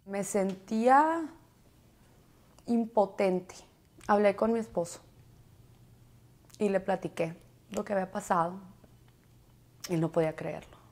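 A woman's voice: medium pitch, a little mellow. A woman in her thirties giving a personal account in an interview in a living room. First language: Spanish.

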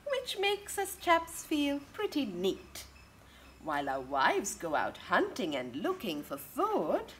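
Storybook penguin dad read by a woman, proud and pleased, slow singsong with big pitch swings. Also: full character voice. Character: proud emperor penguin father. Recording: outdoor ambient garden noise.

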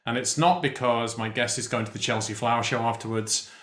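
A man's voice: mid-range voice, a little fast. Male host with roomy echo. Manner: relaxed, hesitant with many fillers. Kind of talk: interview hosting. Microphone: video call. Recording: roomy echo on a video call.

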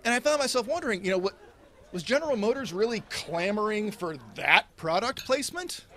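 A man in his thirties doing a deadpan stand-up comedy routine at a stand mic, gesturing as he talks. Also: high-pitched, brisk. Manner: deadpan delivery with animated, sweeping hand gestures. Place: indoors, small set in front of a red curtain. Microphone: stand mic in front of him.

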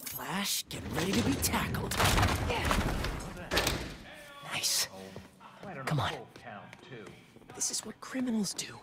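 Game role: earnest, jittery schoolboy, lively pitch swings, hesitant delivery, noisy background. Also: male voice, slow, long pauses, full character voice. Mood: anxious, hushed. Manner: hesitant, anxious. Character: nervous, earnest teenage schoolboy sneaking around. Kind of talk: video game acting, muttering to himself.

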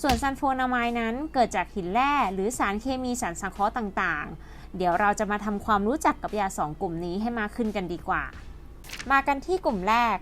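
A woman's voice: medium pitch, lively intonation, fairly quick, fairly bright tone, slight background noise. A woman hosting and narrating a scripted educational piece, explaining politely to her viewers. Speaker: host and narrator.

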